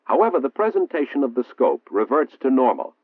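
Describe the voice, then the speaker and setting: An American man reading a 1960s military training film narration in a formal, measured, precisely enunciated manner. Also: mid-range voice, very animated. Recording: muffled, roomy 1960s film soundtrack, phone-like.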